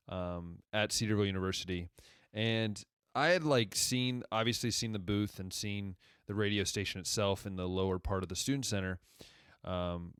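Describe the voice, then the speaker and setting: A young man from Ohio in his twenties with a deep radio-style voice, hosting a casual solo podcast and rambling conversationally as he backtracks through his story. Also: smooth, dry recording, clean studio sound. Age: 20s. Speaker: podcast host.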